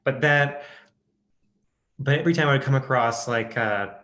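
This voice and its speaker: A man's voice: medium pitch, normal speed, fairly bright tone. A man with a Midwestern American accent, an audiobook narrator chatting in an interview, hesitant with many restarts and fillers. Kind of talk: casual interview chat.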